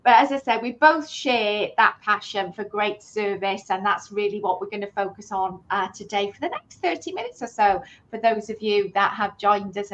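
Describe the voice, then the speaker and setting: A woman's voice: mid-range voice, fairly bright tone, slight background noise, poor audio. A woman with a British accent hosting a live webinar, chatty and enthusiastic, with many fillers. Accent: British English. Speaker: host.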